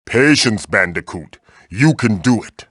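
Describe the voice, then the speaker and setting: A man's voice: lowish voice, expressive, measured pace, fairly bright tone, husky, dry recording, clean studio sound, full character voice. A man voicing a magical floating tiki mask in a video game, a fatherly guide who is theatrical, encouraging and wry. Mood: patient, encouraging. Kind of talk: video game character voice lines, tutorials and quips.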